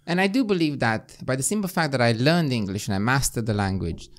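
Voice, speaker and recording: A man in his forties from Romania with a Romanian accent, hosting a podcast conversation in earnest, persuasive tones, recorded in a small studio on a boom-arm mic. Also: animated, fairly quick. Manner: earnest, persuasive, often asks "right?". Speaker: podcast host. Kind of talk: casual conversation. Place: small studio with foam panels.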